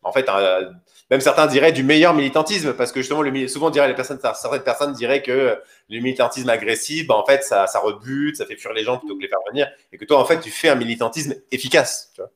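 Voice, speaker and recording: A man's voice: mid-range voice, fast, a bit bright. A man co-hosting a casual live discussion, feeling his way through points with hesitant, rambling phrasing. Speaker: co-host of a live discussion show. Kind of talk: casual live interview chat. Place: indoors, sitting beside co-host. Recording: roomy, echoing live-stream sound.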